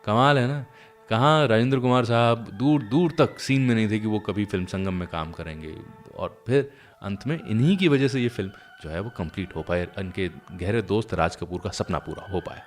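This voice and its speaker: Low-voiced male storytelling host. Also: normal speed, soft background music. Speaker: radio host. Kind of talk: conversational storytelling about old cinema.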